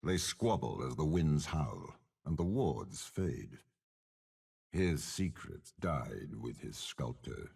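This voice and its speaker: An actor playing a commanding, menacing, scheming old warlord general in a video game, with a gravelly, husky voice and slow, disdainful delivery. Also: male voice, very deep voice, big pitch swings, a little mellow, exaggerated voice. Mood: cold, ominous. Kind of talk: dramatic game character voice lines.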